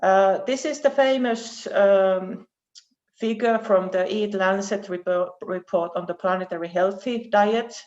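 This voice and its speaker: A woman with a Finnish accent giving an academic presentation as a research professor over an online call, speaking haltingly with repeated words. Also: medium pitch, a little mellow. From Finland. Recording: dull, muffled online call audio.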